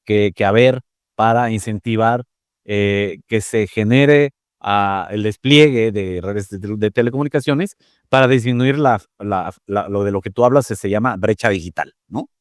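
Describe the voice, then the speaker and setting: A man, a guest explaining telecom topics in a measured way, with many fillers and tag questions. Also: fairly low pitch, smooth, no room sound, clean studio sound. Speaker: interview guest, telecom expert. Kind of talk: conversational interview.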